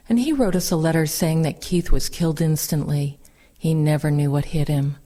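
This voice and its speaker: A woman reads first-person recollections aloud in a mellow, relaxed voice. It sits a little low in pitch and follows a scripted oral history narration. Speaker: reader of veterans' recollections.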